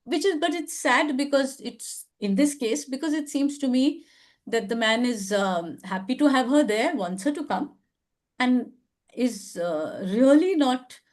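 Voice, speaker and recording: A woman's voice: high voice, lively intonation, a little slow. An older woman with an Indian English accent, hosting a casual advice discussion, thoughtful and hedging, with many fillers. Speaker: advice show host.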